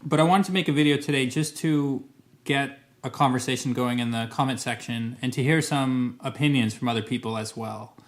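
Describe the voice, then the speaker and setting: A man's voice: mid-range voice, fairly quick. A Canadian man with English as his first language, talking casually to camera as a vlogger, thoughtful and careful, often hedging and restarting his sentences. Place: Montreal.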